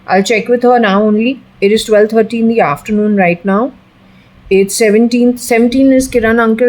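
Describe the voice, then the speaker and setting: A woman's voice: medium pitch, a little mellow, rough recording. A woman with an Indian accent, giving a solo spiritual reading and speaking earnestly to an unseen spirit. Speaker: tarot and occult reader.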